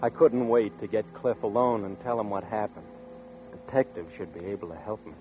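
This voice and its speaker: A man's voice: fairly low pitch, fairly quick, narrow, tinny audio, natural voice. An actor playing a frightened young man who narrates and stammers nervously, in 1940s radio style. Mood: tense narration. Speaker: narrator and lead. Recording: scratchy 1940s radio broadcast.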